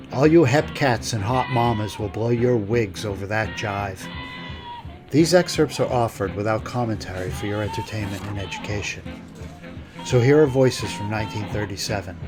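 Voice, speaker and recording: Male podcast host over music. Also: fairly low pitch. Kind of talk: scripted podcast introduction.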